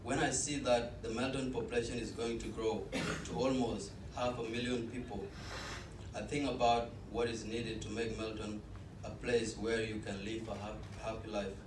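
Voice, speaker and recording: A man's voice: on the low side, little pitch movement, normal speed. An eighteen-year-old man from South Sudan, a student cultural ambassador, reading an earnest personal statement with small restarts. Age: teens. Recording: echoey room, distant mic, noisy.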